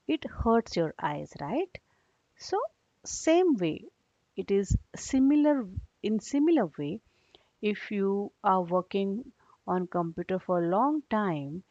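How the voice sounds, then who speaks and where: A woman teacher takes a young class through a read-aloud lesson at a slow, measured pace. She restates terms simply and checks understanding with short questions. The recording is dull and low in fidelity. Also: a little mellow, dry recording.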